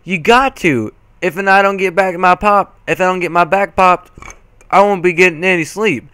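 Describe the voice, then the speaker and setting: A man's voice: high-pitched, moderate pace, pushed and bright, clear, resonant tone, no room sound, pitched above the performer's own voice. A man voicing an elderly granny pony, sleepy and cranky, with rustic old-timer phrasing in a story reading. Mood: grumbling, insistent.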